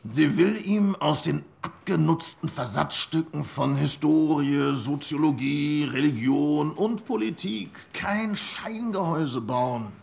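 An actor playing an earnest intellectual, lecturing in a measured, pedantic manner in a radio play. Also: male voice, fairly high pitch, creaky, a little mellow, exaggerated voice.

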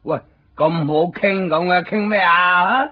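An actor playing an excitable, anxious young man in a 1990s Cantonese radio drama, speaking colloquial Hong Kong Cantonese as he lives and narrates his story. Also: sits high, unhurried. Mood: nosy, casual. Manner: colloquial, expressive. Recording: dull 1990s radio recording, loud music behind. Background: music.

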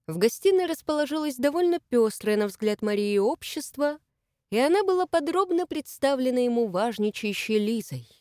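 A woman with a fairly high voice reads a novel aloud in an even, measured way. The recording is close and dry, with no room sound at all. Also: no echo. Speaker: audiobook narrator. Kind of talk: scripted narration.

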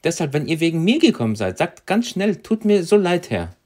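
A man's voice: a little high, very animated, brisk, darkish tone. A man interpreting a sermon live, giving each phrase right after the preacher.

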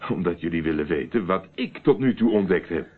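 A man's voice: low-pitched, lively intonation, brisk, breathy edge. A man acting in a radio play as a stubborn, evasive investigator, answering curtly and dryly. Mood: defiant. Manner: curt, dry, stubborn. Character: defiant, evasive male amateur investigator under police questioning. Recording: muffled, narrow-band old radio recording.

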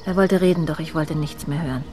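An actress in a German radio drama playing a distraught, guilt-ridden woman who confesses, pleading and halting. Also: sits low, dark tone, faint music behind, natural voice. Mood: guilty confessing. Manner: pleading, halting, tearful under questioning.

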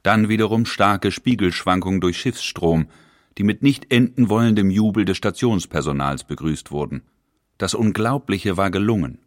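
Actor as deep-voiced thoughtful inventor. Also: male voice, moderate pace, dry recording, clean studio sound, close to an everyday voice. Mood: tense, hopeful. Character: Werner Siemens, patient anxious inventor recalling events. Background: music.

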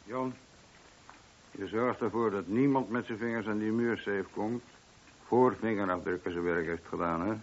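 An actor playing a wry, informal police sergeant in a radio play, talking colloquially with a dry grumble. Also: male voice, lowish voice, moderate pace. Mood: grumbling, bossy. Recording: dull, lo-fi old radio recording.

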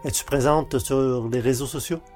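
Mellow man hosting a podcast. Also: medium pitch, moderate pace, faint music behind. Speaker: podcast host. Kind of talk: scripted podcast announcements.

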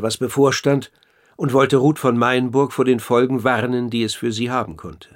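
An actor playing a sober, inquisitive author who narrates a historical radio play in a measured, factual and precise way. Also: male voice, mid-range voice, close to an everyday voice.

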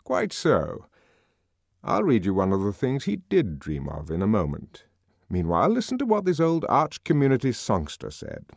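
A man's voice: on the low side, huge pitch swings, normal speed, creaky, no room sound, full character voice. A man voicing an urbane, wry male controller in an audiobook, lecturing genially with dry, teasing authority.

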